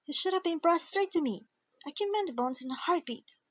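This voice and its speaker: A woman playing a stern, brisk school nurse who scolds, in an audio drama. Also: extremely high pitch, animated, no room sound. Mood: stern, scolding. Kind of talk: fan audio drama acting.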